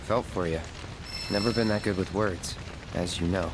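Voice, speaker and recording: An actor playing a stoic, deadpan young fighter of few words in a video game. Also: male voice, sits low, moderate pace, background noise, lightly acted. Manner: terse, deadpan. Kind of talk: video game cutscene acting. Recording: music behind the voice.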